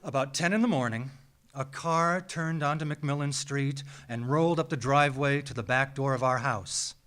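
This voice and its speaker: An American man reads a scripted personal story live on stage, with a measured, theatrical delivery and deliberate dramatic pauses. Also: medium pitch, a bit bright. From small town in Minnesota, United States. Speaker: writer reading a personal essay. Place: small theatre, live event.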